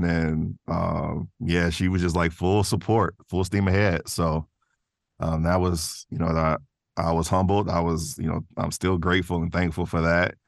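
Low-voiced man chatting casually. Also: no echo, clean studio sound. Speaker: interview guest, voice actor and creator. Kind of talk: casual conversational storytelling.

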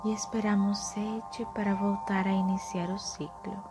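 A woman leading a guided meditation in a soft, soothing, often breathy manner with drawn-out phrases. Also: mid-range voice, little pitch movement. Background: soft music.

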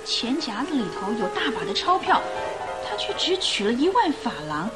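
An amused, gossipy ship passenger for radio drama. Her high voice moves animatedly, chatty and knowing. Music sits clearly behind. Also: sing-song, moderate pace.

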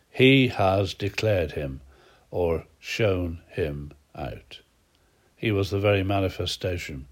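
A man with a cold giving a short devotional Bible talk, earnest and measured, his phrases sinking low at the ends. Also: a little mellow. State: has a cold. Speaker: preacher.

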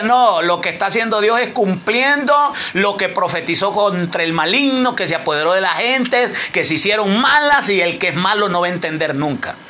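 A high, bright-toned man preaching with a Honduran Spanish accent, surging into forceful proclamations and then sinking into hushed, earnest passages. A faint hiss of background noise sits under his voice. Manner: impassioned preaching with big swings between loud declarations and low, hushed passages. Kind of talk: sermon.

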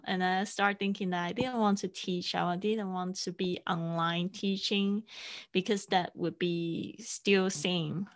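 A woman whose first language is Mandarin, speaking English as a podcast guest in an unhurried way, with many fillers and restarts. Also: lowish voice, creaky. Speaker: guest, online teacher and podcaster. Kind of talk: casual interview conversation.

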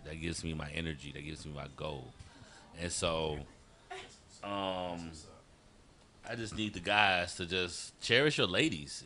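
A man's voice: deep voice, pushed and bright. A man in his forties chatting casually as a guest, with some noise and clicks in the recording. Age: 40s. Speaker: guest on a couples discussion. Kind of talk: casual chat.

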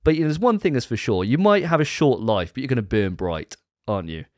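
A man hosts a podcast with a pitch that swings up and down as he jokes. He teases his co-hosts in a light, bantering way. His voice sounds dry and close. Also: animated, fast talker, a little mellow, dry recording. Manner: teasing, jokey. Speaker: podcast host.

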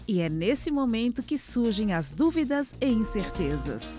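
A woman's voice: on the high side, sing-song, measured pace, mellow, faint music behind. A woman hosting an audiovisual podcast, reading a scripted introduction and then interviewing her guest. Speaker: podcast host.

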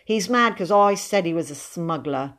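A woman's voice: on the low side, animated, moderate pace, a bit bright. A woman voicing an earnest, proud and stubborn little boy in an audiobook, with dogged, childish, run-on speech. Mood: aggrieved. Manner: dogged, breathless run-on boasting, childish grammar. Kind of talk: audiobook character voice.

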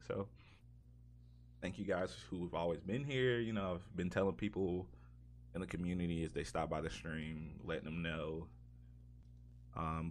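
A man with a very deep voice hosts a casual gaming-style podcast. He speaks informally, like a streamer chatting to regulars, and drops slang into his sentences.

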